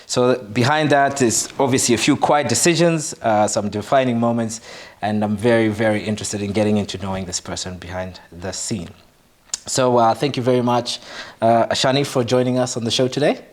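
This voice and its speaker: A male talk show host, asking questions in an unhurried, gentle way. He uses plenty of "uh"s and quiet "mm-hmm"s while his guest talks. His voice sits at an ordinary middle pitch for a man. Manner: measured, with frequent fillers and soft acknowledgements. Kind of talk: interview, conversational.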